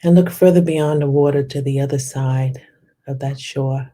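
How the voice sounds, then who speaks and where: A meditation guide leading a guided visualization in a soft, soothing, unhurried way, lingering on descriptive words. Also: medium pitch, fairly even intonation.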